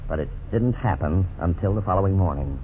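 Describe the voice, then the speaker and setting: A thin, lightly noisy vintage radio recording carries a lawyer-narrator. His low voice is earnest and didactic, with measured, scripted authority in 1950s style. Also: animated, narrow, tinny audio. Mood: earnest, explanatory.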